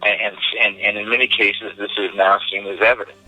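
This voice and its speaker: Halting man with sharp, bright voice. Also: on the low side, level tone, soft background music, poor audio. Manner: halting, hesitant, repeats words. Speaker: interviewee. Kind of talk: unscripted interview talk.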